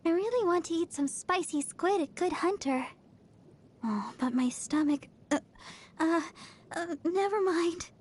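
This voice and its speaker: A woman's voice: very high-pitched, lively intonation, unhurried, a little mellow. A woman voicing a cheerful, devoted young girl idol healer in a video game, sweet, earnest and bubbly. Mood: bright, sheepish. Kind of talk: video game character voice lines.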